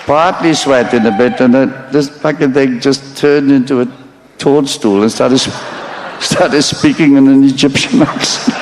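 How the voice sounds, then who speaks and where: A man's voice: medium pitch, steady tone, a little slow, a little hiss or hum, low-quality recording. A man giving a rambling live stage monologue, swearing freely, mocking himself and stopping to apologize for his slip-ups. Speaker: stand-up storyteller.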